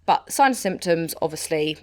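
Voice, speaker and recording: A young woman talks with a big, emphatic voice, pressing each point home in a relaxed podcast conversation. She sounds casual and animated. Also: deep voice, moderate pace. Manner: emphatic, animated, loud when quoting people. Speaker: podcast guest, mother. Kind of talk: casual chat.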